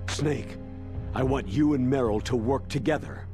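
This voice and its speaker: An actor playing an authoritative older colonel in a video game, speaking in a firm, commanding manner. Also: male voice, medium pitch, unhurried, natural voice. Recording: music behind the voice.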